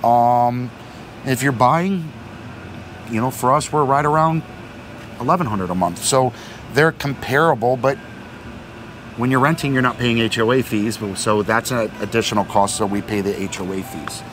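A man's voice: medium pitch, moderate pace, a bit bright. A middle-aged man co-hosting a vlog, talking casually at a marina dock, repeating words and using many fillers. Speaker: vlog co-host. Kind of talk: casual explanatory chat. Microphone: fixed camera at a few meters. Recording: outdoor noise behind.

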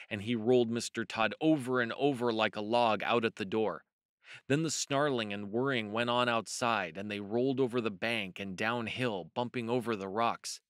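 A man narrating a children's storybook as host, measured and expressive. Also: fairly low pitch, no echo, studio quality.

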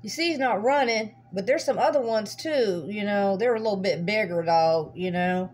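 A woman's voice: mid-range voice, normal speed, a little mellow. A woman narrating a home video in casual, folksy, rambling chat, often repeating her words. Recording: humming noisy amateur recording.

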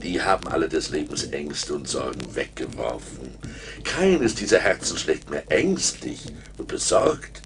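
A sinister giant spirit in a radio play. He swoops dramatically between low wheedling and high scorn, with a gravelly creak, luring his victim with theatrical relish. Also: big pitch swings, a little slow, background noise, heavily acted. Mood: sly, soothing. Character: sinister giant forest spirit, coaxing, mocking tempter. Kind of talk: German radio play acting. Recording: 1980s vinyl radio play with music.

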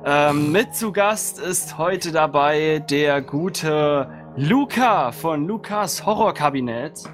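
Male livestream host and horror story reader, lively intonation, music underneath. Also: on the high side, slow pace. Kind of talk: casual livestream chat and story narration.

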